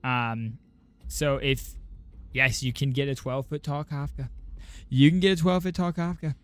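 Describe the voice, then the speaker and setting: A man's voice: mid-range voice, fairly even intonation, measured pace. A man hosting a game livestream, chatting informally and directly to his viewers. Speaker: game streamer and host. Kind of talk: casual livestream chat.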